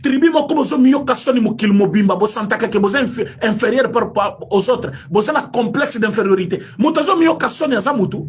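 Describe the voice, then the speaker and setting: A man's voice: extremely high pitch, lively intonation, fast, hardly any pauses, breathy edge. A man from Congo with a Congolese French accent, giving impassioned political commentary full of rhetorical questions and switching between French and Lingala. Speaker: online commentator.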